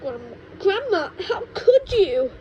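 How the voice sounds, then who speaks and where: Storybook boy, eight, voiced by a woman: high, lively intonation, polite, pleading, easily dismayed. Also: takes it slowly, dull audio, full character voice. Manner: pleading, protesting. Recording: noisy low-quality home microphone recording.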